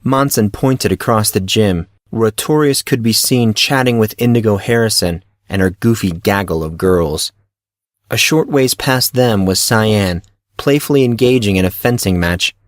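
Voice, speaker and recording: A man's voice: lowish voice, moderate pace, no room sound, studio quality. A man reading scripted narration for a fantasy audio story as its narrator.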